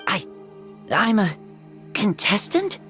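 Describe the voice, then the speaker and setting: An actress voicing a shy, wide-eyed young child in a game, speaking hesitantly and stammering. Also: female voice, medium pitch, sing-song, slow, a bit bright, faint music behind. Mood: wondering. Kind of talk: game cutscene voice acting.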